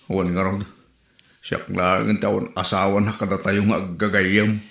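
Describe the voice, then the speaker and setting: A man's voice: sits low, little pitch movement, moderate pace, creaky, a bit bright, reverberant. A man with an Ilocano accent acting an exasperated single son in a comedy skit.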